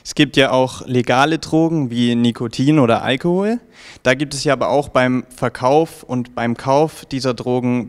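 A young man presenting and asking prepared interview questions as a TV host into a handheld microphone. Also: medium pitch. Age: young adult. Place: small indoor information room with bookshelves. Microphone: handheld reporter microphone.

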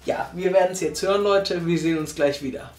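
A man's voice: sits high, brisk, echoey room, loud music behind the voice. A man hosting a casual review chat from a sofa, posing rhetorical questions to viewers. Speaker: host. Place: small living room, on a sofa. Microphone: far camera.

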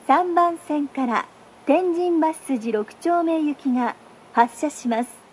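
A woman's voice: high voice, slow pace, darkish tone, a little hiss or hum. A woman making formal, carefully enunciated recorded train platform announcements as a station announcer.

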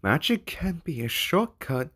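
A man's voice: on the high side, big pitch swings, unhurried, fairly bright tone, husky, full character voice. A man voicing a doting, theatrical owl demon prince and father in an amateur cartoon comic dub. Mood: doting, instructive.

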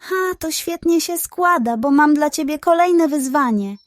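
A woman voicing a sly, greedy male pug dog in a children's storybook, a fast-talking schemer with a wheedling, theatrical manner. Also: high voice, lively intonation, dry recording, studio quality, voice pushed up. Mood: wheedling flattery.

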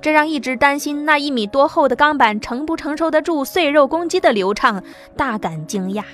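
A woman giving scripted audiobook narration with an even storytelling delivery. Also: high voice, a little fast, faint music behind.

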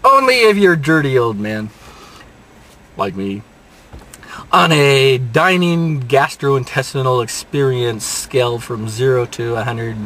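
An older man talks slowly into a dashboard camera from inside a parked car. His delivery rambles along, sprinkled with dry jokes at his own expense. A faint hum of vehicle and street noise sits behind his voice. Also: medium pitch, a bit bright. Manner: unhurried rambling delivery with dry self-mocking asides. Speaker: restaurant reviewer. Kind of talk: casual restaurant review vlog. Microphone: dashboard camera at close range.

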